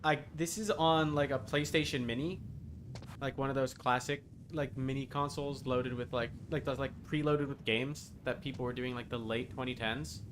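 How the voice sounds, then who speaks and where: A man's voice: mid-range voice, fairly even intonation, normal speed, noisy background. A man livestreaming a video game with casual, rambling, easily flustered chat. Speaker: game streamer. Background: game music.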